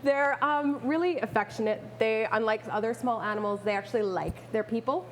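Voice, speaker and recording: A woman's voice: a little high, fairly quick, a little hiss or hum, low-quality recording. A woman chatting casually as a guest expert in an interview, playful, enthusiastic and informal. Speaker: guest expert, pet rat owner and rescuer.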